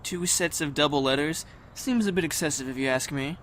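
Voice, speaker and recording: Moody, foul-mouthed boy survivor, played by a woman, pitched deep for her, grumbly, slightly noisy recording. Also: fairly quick, natural voice. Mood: irritable, uneasy. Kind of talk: amateur audio drama acting.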